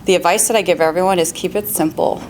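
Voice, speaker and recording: A woman's voice: on the low side, steady tone, quick pace, darkish tone, slight background noise, poor audio. A woman, a real estate agent on a panel, giving upbeat, practical advice with frequent laughs and questions to the audience.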